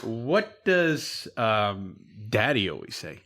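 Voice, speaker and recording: A man in his thirties hosting a casual family chat with children, animated and repeating answers back. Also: on the low side, slow pace. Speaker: podcast host, father interviewing his young sons.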